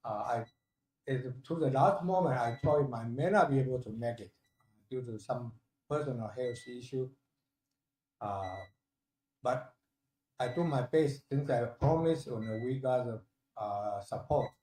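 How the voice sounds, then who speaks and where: A man in his sixties from Taiwan, with a Taiwanese accent and a voice recovering from being lost, giving a halting, humble thank-you speech as a guest professor. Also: mid-range voice, darkish tone. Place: indoor hall at a university ceremony. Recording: echoey room.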